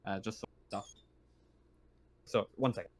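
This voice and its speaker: A man's voice: on the low side, fairly even intonation, unhurried. A man running a tabletop game casually as game master, hesitant and rambling, with an apologetic manner.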